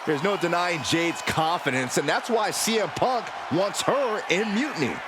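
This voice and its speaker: Lively, high-pitched male wrestling commentator. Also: normal speed. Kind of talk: match commentary. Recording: noisy arena crowd behind.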